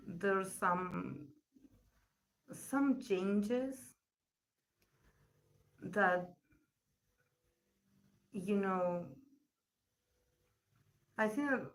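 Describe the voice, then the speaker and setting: Slow-talking woman tarot reader, recovering voice. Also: medium pitch, low-quality recording. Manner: slow and searching, with pauses and repeated words. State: voice still recovering from illness. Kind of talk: live-stream tarot reading answering chat questions. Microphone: poor live-stream mic.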